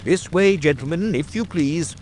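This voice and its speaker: A man's voice: a little high, normal speed, darkish tone, a little hiss or hum, pitched above the performer's own voice, close to an everyday voice. A man voicing a genial, eager provincial police detective in an audiobook drama, with a hearty, chatty manner. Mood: courteous.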